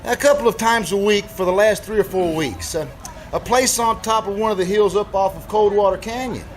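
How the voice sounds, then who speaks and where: An easygoing young cab driver from a radio drama, played by a man. His voice is notably high, and he talks in a folksy, hesitant way as if thinking aloud. Faint music sits behind him. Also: fairly quick, lightly acted, poor audio. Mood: helpful, curious. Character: helpful, easygoing young male taxi driver. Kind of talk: old-time radio drama acting.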